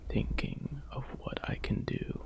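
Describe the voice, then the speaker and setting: A man as a slow, whispering, tender boyfriend. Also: lightly acted. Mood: tender, adoring. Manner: soft, breathy whispering, gentle and deliberate. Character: tender, adoring boyfriend comforting a sad partner. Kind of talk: ASMR roleplay, whispered.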